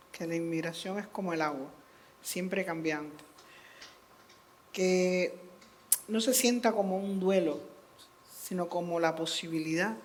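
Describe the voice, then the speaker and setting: Reflective woman panelist. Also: fairly low pitch. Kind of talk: panel discussion.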